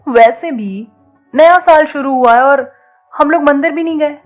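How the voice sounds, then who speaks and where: A woman's voice: high-pitched, measured pace, lo-fi sound, faint music behind, pitched above the performer's own voice. A woman voicing a teasing, bold young college student who talks in a casual, coaxing way in an audio story. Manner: playful, coaxing, casual slangy talk.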